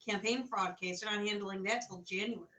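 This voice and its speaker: A woman co-hosting a casual online talk show, agreeable and quick to back up the host. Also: medium pitch, fairly even intonation, sharp tone. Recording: roomy echo.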